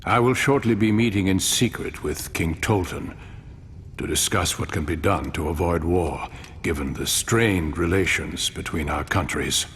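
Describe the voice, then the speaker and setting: A grave male ruler with a very low voice, speaking slowly and formally. He sounds weary and principled, every phrase deliberate, as in a dramatic video game scene. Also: fairly bright tone. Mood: solemn, earnest. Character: weary mortal male ruler, grave, idealistic yet pragmatic. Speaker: voice actor in a game cutscene. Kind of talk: dramatic game cutscene acting. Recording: long hall reverb, low rumble.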